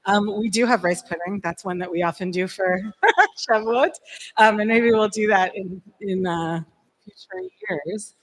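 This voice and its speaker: A woman's voice: mid-range voice, measured pace, low-quality recording. A woman from Iran who collects recipes gives a short, friendly talk to a group, informal and full of fillers. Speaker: guest speaker, recipe collector.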